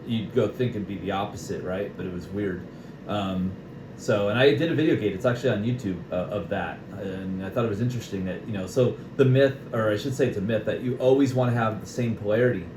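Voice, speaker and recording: A low-pitched American man talks casually as a radio hobbyist. He explains in a chatty way and keeps checking that the listener agrees. The room is echoey, with clear background noise. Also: fairly quick. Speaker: CB radio operator and video maker. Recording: noisy, echoey room recording.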